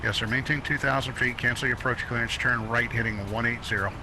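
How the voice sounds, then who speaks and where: A man's voice: medium pitch, fairly even intonation, fast talker. A man working as a virtual air traffic controller, giving clipped, polite radio instructions. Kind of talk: radio phraseology.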